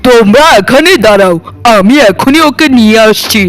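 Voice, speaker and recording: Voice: mid-range voice, animated, normal speed, soft background music, lightly acted. A performer voicing a devoted, hot-tempered grown son and husband in a melodramatic cartoon. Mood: outraged. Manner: indignant, theatrical.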